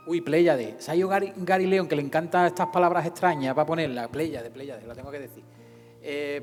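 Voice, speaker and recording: A man hosting an informal presentation, speaking effusively with hesitant, repeated phrasing. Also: fairly high pitch. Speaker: event host and narrator of a radio serial. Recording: echoey hall with long reverb, faint music.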